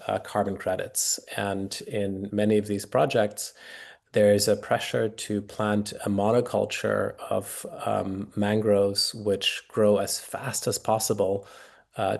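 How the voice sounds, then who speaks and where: Low gravelly man with a flat delivery. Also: a little slow. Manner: hesitant, many fillers and restarts. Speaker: guest speaker. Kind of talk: webinar Q&A answers.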